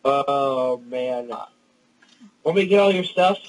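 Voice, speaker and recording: A young man co-playing a console game with his friend, quick and directing, exclaiming at finds, heard from off-mic in the room. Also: medium pitch, animated, recorded from a distance, poor audio. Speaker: co-player. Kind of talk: casual gaming chat.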